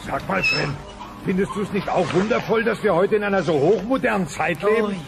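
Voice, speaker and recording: A man's voice: high-pitched, brisk, vocal fry. An actor playing a blustering, sentimental dinosaur father in a German cartoon audio play, speaking emphatically. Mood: relieved. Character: male dinosaur family father, blustering, sentimental, soft-hearted. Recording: music bed behind the voice.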